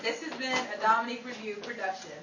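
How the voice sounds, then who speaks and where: High-voiced woman announcer, very noisy echoey recording. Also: fast talker. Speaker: announcer and cast member. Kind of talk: radio-style credits and off-script chat. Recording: very noisy, echoey, distant mic.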